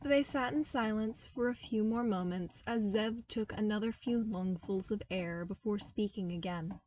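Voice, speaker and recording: A woman reading a story aloud as an audiobook narrator, in an expressive scripted style. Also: medium pitch, moderate pace, slight background noise, low-quality recording.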